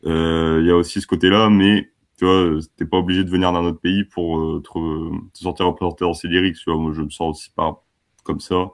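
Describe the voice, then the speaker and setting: A man's voice: sits low, little pitch movement, a little fast. A man in his twenties from France, a band member chatting casually and laughing easily in an interview. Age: 20s. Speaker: band musician.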